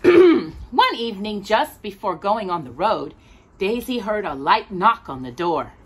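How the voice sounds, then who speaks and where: Animated woman reading a children's storybook. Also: mid-range voice, husky. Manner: expressive, with drawn-out words for a child listener. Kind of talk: children's picture book read aloud.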